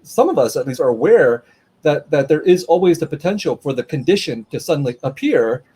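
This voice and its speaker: Guy moderating a book talk over a video call, thoughtful, kinda high voice, keeps restarting his sentences. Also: very animated, a little fast, warm tone, breathy edge. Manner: thoughtful, with frequent restarts and repeated words. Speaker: moderator and author. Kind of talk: livestreamed author conversation.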